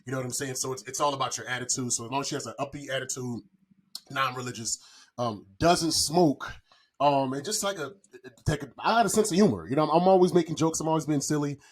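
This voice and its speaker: A man in his forties talks in a casual podcast style, with a slightly rough, husky edge to his voice. He is candid and slangy, rambling from one phrase to the next at a middle pitch. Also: quick pace. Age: 40s.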